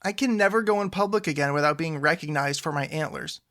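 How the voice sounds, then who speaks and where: A man's voice: fairly high pitch, fast talker, a bit bright. A man playing an earnest, awkward, chatty sidekick hero in an audio drama. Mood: wistful. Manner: chatty, earnest, self-effacing.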